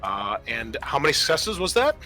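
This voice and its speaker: A man's voice: mid-range voice, huge pitch swings, moderate pace. A man acting as game master, methodically explaining tabletop game rules to his players. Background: music.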